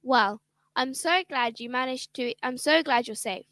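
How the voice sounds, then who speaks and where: A woman's voice: high-pitched, measured pace, a bit bright, no echo, clean studio sound. An actress playing a caring father who grows indignant on his daughter's behalf in a children's radio play. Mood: concerned. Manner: concerned, indignant. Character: caring father, protective and quick to anger.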